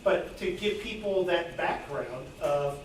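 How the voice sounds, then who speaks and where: A man's voice: a little high, lively intonation, slow pace. A man offering suggestions in an informal discussion from the audience at a club meeting. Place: club meeting room, far from mic. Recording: very echoey room, distant mic, noisy.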